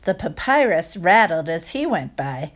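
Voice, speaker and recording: Lady reading a kids story out loud, real slow, expressive, stops to chat w the kids. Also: on the low side. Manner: expressive, acts out sound words, asks listeners questions. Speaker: teacher reading a picture book to children. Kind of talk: animated read-aloud storytelling with chatty asides.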